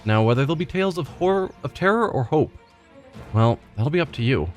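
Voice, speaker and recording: A man's voice: mid-range voice, lively intonation, fairly quick, soft background music, pitched above the performer's own voice, lightly acted. Man reading as a bitter wounded werewolf. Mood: wry. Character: bitter wounded male werewolf loner, wry.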